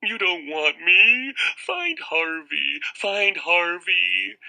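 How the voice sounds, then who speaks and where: A man's voice: sits high, expressive, very slow, vocal fry, sharp tone, voice pushed up, full character voice, rough recording. A man voicing a terrified chubby young boy who sobs and begs as he cries. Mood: sobbing. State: crying.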